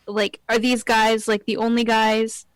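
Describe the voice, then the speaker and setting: Giggly woman player, higher voice. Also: level tone, unhurried, clear, resonant tone, dry recording. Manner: giggly, hesitant, lots of likes. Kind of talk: casual tabletop game play.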